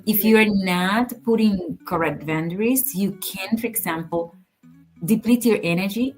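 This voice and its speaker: A woman co-hosting a podcast, talking in a measured, earnest, advice-giving way in casual conversation. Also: on the low side, low-quality recording. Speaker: podcast co-host.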